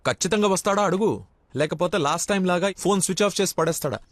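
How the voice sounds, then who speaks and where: A man's voice: high voice, fast, natural voice. An actor playing a stern middle-aged father in a comedy film, sizing up his daughter's suitor. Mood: stern, skeptical.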